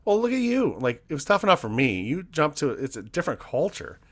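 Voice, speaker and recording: A man's voice: a little high, very animated, fairly quick, a bit bright. A man in his forties from Canada hosting a casual conversation with an old friend, talking in a rambling, hesitant way. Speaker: podcast host. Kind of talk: casual interview chat between old friends.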